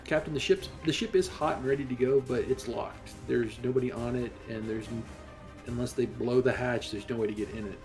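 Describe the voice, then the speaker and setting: A man's voice: mid-range voice, fairly quick, darkish tone. Man roleplaying crewman, faint music behind. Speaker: player as a crew member on comms. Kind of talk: tabletop roleplay, reporting to a captain.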